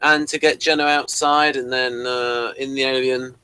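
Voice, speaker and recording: A man's voice: mid-range voice, flat delivery, moderate pace, pushed and bright, smooth, dry recording. A man hosting a casual discussion of an audio drama, asking the others for their views. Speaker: podcast host.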